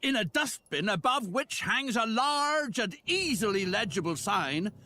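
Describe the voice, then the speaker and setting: Pompous high-voiced male official. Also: big pitch swings, a little slow, bright, forceful tone, a little hiss or hum, exaggerated voice. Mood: officious. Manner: pedantic, scolding. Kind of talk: video game character dialogue. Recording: music under the voice.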